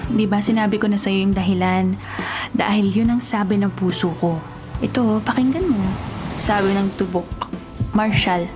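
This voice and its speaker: An actress playing an affectionate young Filipino heiress in a radio drama, sweet, coaxing and emotive. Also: female voice, mid-range voice, normal speed, music in the background, lightly acted. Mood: teasing, loving. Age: young adult. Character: affectionate young rich heiress in forbidden love.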